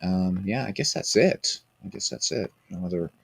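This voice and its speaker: Husky-voiced man chatting on a stream. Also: deep voice, expressive, normal speed. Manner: rambling, repeats phrases, chats with viewers by name. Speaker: streamer hosting a baseball sim game. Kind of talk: casual live-stream chat.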